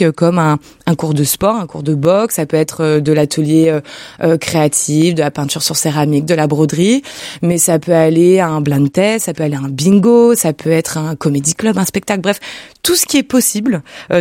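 A woman's voice: lowish voice, darkish tone, no room sound, clean studio sound. A woman from Lyon, France, guest on a radio show, talking about the women's meetup network she founded. She speaks spontaneously, with many fillers and restarts. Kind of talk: radio interview, spontaneous speech.

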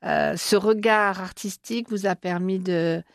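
A woman hosting an interview, asking gentle questions and encouraging her guest with short affirmations. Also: mid-range voice, fairly even intonation, unhurried, creaky, no room sound. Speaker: interviewer host.

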